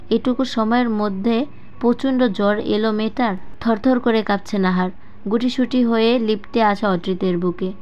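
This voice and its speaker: A woman's voice: medium pitch, darkish tone. A woman reading a scripted dramatic story as a narrator. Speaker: storyteller, audiobook-style narrator. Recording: dull lo-fi voice-over with music. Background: background music.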